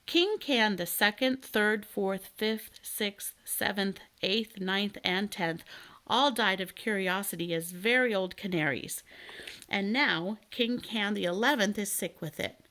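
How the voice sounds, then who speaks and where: A woman's voice: mid-range voice, measured pace, bright, forceful tone. A woman reading a children's chapter book aloud as a scripted narration. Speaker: storybook reader.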